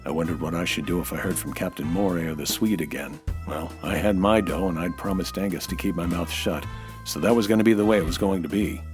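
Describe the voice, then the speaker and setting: An actor playing a hard-boiled, cynical American nightclub owner in a dry, clipped detective radio drama style. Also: male voice, deep voice, fast, music in the background, lightly acted. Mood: wry, narrating. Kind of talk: 1940s detective radio drama narration and dialogue.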